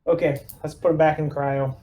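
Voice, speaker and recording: A man's voice: on the high side, level tone, normal speed, mellow. A man talking casually as a player in a tabletop roleplaying game, laying out his squad's next steps. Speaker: player acting as squad lieutenant.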